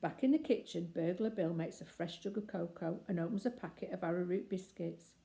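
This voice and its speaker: An older woman reading a picture book aloud as expressive children's story narration. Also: lowish voice, fairly quick, vocal fry.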